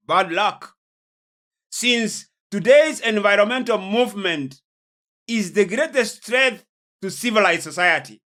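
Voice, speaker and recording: Slow, bright-voiced male preacher. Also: high-pitched, animated. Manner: deliberate, emphatic, with pauses and repeated phrases. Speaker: preacher, host of a religious programme. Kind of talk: sermon-like lecture on prophecy and world events.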